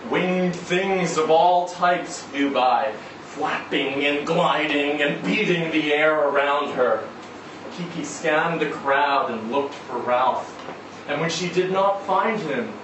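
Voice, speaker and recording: A man giving a dramatic live reading of a short story, with measured, deliberate phrasing and pauses. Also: fairly high pitch. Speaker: story reader at a live literary event. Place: indoor event venue before a live audience. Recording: echoey room, distant mic, noisy background.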